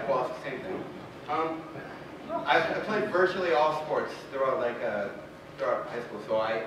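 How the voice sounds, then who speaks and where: A man giving informal, rambling public comment at a meeting, often checking for agreement with short questions. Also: mid-range voice. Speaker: audience member. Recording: very echoey theatre, distant, noisy.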